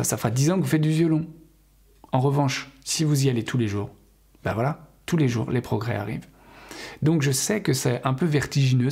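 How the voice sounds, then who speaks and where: Gravelly French man teaching. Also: medium pitch, darkish tone. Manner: earnest, encouraging, many fillers and restarts. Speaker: English teacher. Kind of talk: casual spoken lesson to camera.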